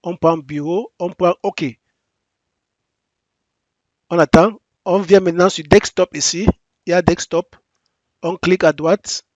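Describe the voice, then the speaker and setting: Brief: male presenter for a software tutorial, native English speaker working in French, fairly high voice, dry close sound, repeats each step and restarts his sentences. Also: steady tone, no echo. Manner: step-by-step, repetitive instructions; halting non-native French with frequent restarts. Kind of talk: screen-recorded software tutorial.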